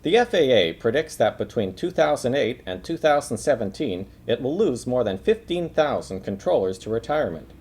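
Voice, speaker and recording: Male reporter reading scripted investigative narration, medium pitch, highly varied intonation. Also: sing-song, normal speed, few pauses, a little mellow, slightly rough. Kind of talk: scripted news documentary narration.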